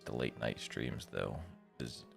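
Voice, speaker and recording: A man's voice: very deep voice, fairly even intonation, takes it slowly, vocal fry. A man live streaming, reading a visual novel's narration aloud and breaking off to comment casually. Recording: music behind the voice. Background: guitar music from the game.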